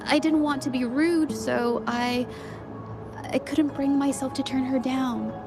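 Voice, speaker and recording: A shy, slow-spoken young woman. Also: sits high, steady tone, music in the background. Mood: shy, apologetic. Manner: hesitant, halting, polite. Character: shy, apologetic, soft-hearted young woman. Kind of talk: video game character dialogue.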